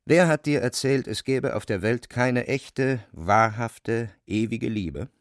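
A man narrating a literary radio play as a storyteller, expressive, theatrical and deliberate, with a gravelly voice. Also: mid-range voice, dry recording, studio quality. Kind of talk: dramatic audiobook-style storytelling for radio play.

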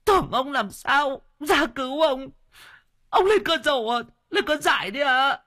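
A man voicing an old wife who weeps and shrieks at her husband in a high, wailing voice. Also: expressive, a little slow, bright, forceful tone, full character voice. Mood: shrieking. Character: old wife, weeping and shrill.

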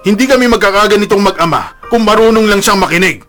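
A man's voice: sits high, fast talker, fairly bright tone, soft background music. A male actor playing a stern, middle-aged father in a Filipino radio drama, with a Filipino accent and a domineering temper. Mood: stubborn, irritated. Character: stern, domineering, angry father of grown son.

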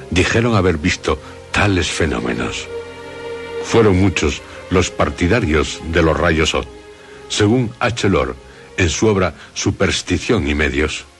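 A middle-aged man with a Castilian Spanish accent, hosting a radio drama in a solemn, deliberate, phrase-by-phrase narration over music. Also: sits low. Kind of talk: scripted introduction and narration.

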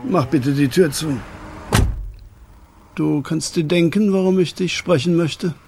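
A man playing a calm, paternal loan shark in a German radio drama, measured, condescending and politely threatening. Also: fairly high pitch, over music. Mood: coolly menacing.

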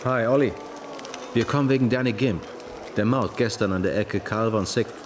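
An actor playing a sober police detective in a radio crime drama, speaking in a terse, matter-of-fact way. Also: male voice, lowish voice, moderate pace, background noise, close to an everyday voice. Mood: businesslike.

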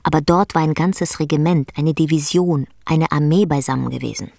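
Low-voiced, creaky woman narrator. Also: steady tone, moderate pace, mellow, no room sound, studio quality. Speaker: narrator of a radio play. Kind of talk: literary scripted narration. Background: faint music.

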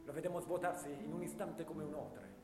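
Male actor doing a grave young war veteran, theatrical radio play, declamatory storytelling, echoey hall, clear guitar backing. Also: a little high, fairly even intonation, a little fast, natural voice. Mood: anguished, grave. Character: haunted young male First World War soldier recalling dead classmates. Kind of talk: theatrical radio drama monologue. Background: guitar music.

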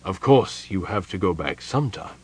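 A man doing a quiet, weary young mage. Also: deep voice, expressive, husky, exaggerated voice. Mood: firm. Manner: quiet, measured, restrained. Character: tired young male mage, patient and thoughtful.

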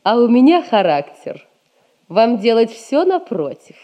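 Slow, defiant young woman. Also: fairly high pitch, very animated, mellow, muffled recording. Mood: stubborn, challenging. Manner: deliberate, emphatic, stubborn delivery. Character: headstrong, lovelorn young merchant's granddaughter, defiant. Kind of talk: Russian stage comedy recorded as radio play.

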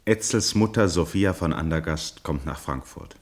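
Super deep guy narrating a radio play, measured, bookish delivery.

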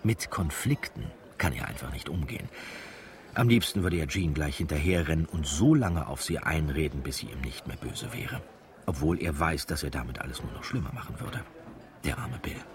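A man's voice: rumbling low, expressive, normal speed. A man narrating a radio drama from a script, as a wry storyteller given to chatty asides. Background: music.

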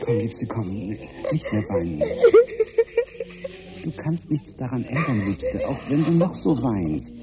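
An actor playing a tender, shaken husband who consoles haltingly and tries to be brave in a German radio drama. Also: male voice, medium pitch, big pitch swings, very noisy. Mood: tearfully consoling. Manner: soothing, halting.

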